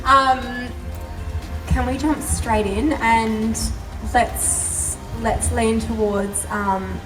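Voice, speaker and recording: A woman hosting an event, asking a guest questions in a gentle, hesitant way. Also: on the high side, steady tone, extremely slow. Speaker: host, interviewer. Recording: roomy, far from the mic, faint music.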